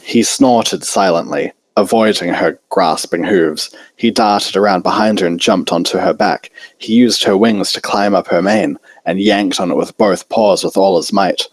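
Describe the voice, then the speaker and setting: A man's voice: deep voice, steady tone, normal speed, few pauses, no echo. A man reading a fan fiction story aloud as its narrator.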